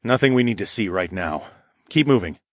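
A man's voice: on the low side, expressive, brisk, dry recording, clean studio sound. Man as a direct, commanding fantasy hero. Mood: decisive. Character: male young fantasy lord, decisive healer hero.

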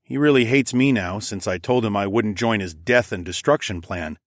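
A confident dragon lord performed by a man speaking frankly in a fantasy audiobook. The voice is fairly low, with a casual delivery and no room sound. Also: moderate pace, no echo, studio quality, lightly acted. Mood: frank, resolute. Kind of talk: audiobook character dialogue.

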